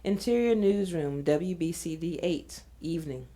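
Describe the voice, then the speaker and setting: A woman's voice: on the low side, animated, moderate pace, dark tone. A woman reading scene directions as a scripted narration.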